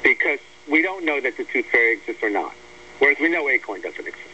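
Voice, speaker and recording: A man's voice: medium pitch, very animated, quick pace, bright, forceful tone. An American man giving blunt, emphatic, argumentative political commentary as a guest on a talk radio show. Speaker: guest commentator discussing an article they wrote. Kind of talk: opinionated political radio discussion. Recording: dull lo-fi radio audio, faint hum.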